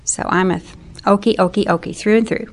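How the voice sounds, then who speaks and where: An older woman from western Oklahoma reminisces chattily in an interview. She sometimes gropes for the right word. Her voice sits at a mid pitch. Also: fairly even intonation, quick pace, slight background noise. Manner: chatty, searching for words.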